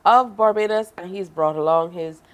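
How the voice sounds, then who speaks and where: A woman's voice: fairly low pitch, moderate pace. A woman hosting a morning talk-show interview, playful and teasing with her guests.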